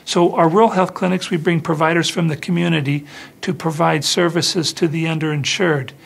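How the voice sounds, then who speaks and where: This is a middle-aged American man explaining services on camera. His voice sits a little high for a man, with heavy vocal fry and a husky, rough edge. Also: level tone, brisk. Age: 40s. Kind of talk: interview explanation for a hospital newsreel. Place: indoor office or meeting room.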